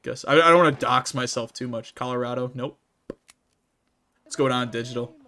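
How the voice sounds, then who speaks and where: A man's voice: mid-range voice, normal speed, sharp tone, slight background noise. Laid-back Midwestern man chatting on stream. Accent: Midwestern American. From Midwestern United States. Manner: reads chat messages aloud and answers them, laughing often. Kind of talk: casual livestream chat while gaming.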